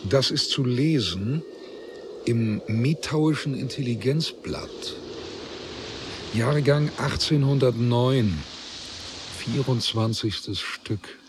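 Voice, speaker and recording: An actor playing a measured citizen who relays reports and gossip deliberately and precisely in a radio drama. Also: male voice, mid-range voice, natural voice. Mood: measured, wry. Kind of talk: German radio drama acting. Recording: clear background noise.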